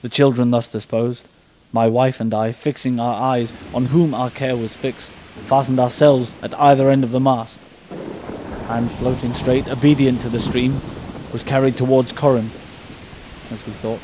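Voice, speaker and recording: A man reciting Shakespeare verse on stage in a measured, steady way. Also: medium pitch, a little hiss or hum, low-quality recording. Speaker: stage actor.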